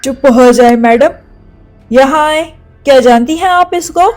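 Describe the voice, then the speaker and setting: A stern cartoon police bird, voiced by a woman, questions people firmly and slowly. The voice is fairly high and authoritative, over faint music. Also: darkish tone. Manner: firm, questioning, unhurried. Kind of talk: Hindi cartoon story dialogue.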